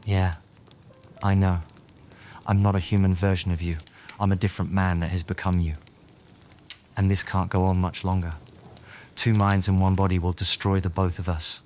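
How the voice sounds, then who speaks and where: An actor playing a bitter, betrayed wizard in an audio drama, emotional and reproachful. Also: male voice, low-pitched, level tone, normal speed, long pauses, a little mellow, a little hiss or hum, natural voice. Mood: resigned. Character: bitter, betrayed wizard turned into another man. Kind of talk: fan audio drama acting.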